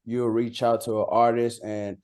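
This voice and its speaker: A man's voice: medium pitch, little pitch movement, moderate pace, darkish tone, smooth. A man from Costa Rica, a native Spanish speaker, chatting casually as an interviewed rapper, slangy and repeating words for emphasis.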